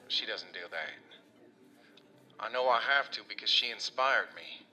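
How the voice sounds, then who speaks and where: Actor as an earnest, bright-voiced working man. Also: medium pitch, poor audio. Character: earnest, rough-edged working man, smitten and self-doubting. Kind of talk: audio drama monologue, like a voice message. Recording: music behind the voice.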